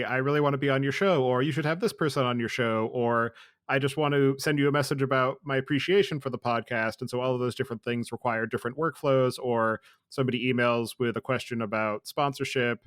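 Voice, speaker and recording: A man hosting a podcast, asking long, structured interview questions in a measured, articulate way. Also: medium pitch, a bit bright, no room sound, clean studio sound. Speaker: podcast host.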